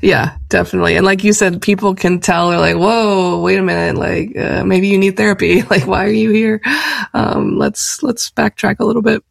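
A woman interviewer talks in a medium-pitched voice with very heavy vocal fry. She keeps things casual and conversational, agreeing with and affirming her guest in an informal way. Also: fairly even intonation, normal speed. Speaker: interviewer, host.

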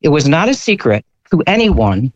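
A man's voice: fairly high pitch, big pitch swings, normal speed. A man giving a dissenting view as a guest in a political discussion, recorded in dull, lo-fi sound. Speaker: panel guest.

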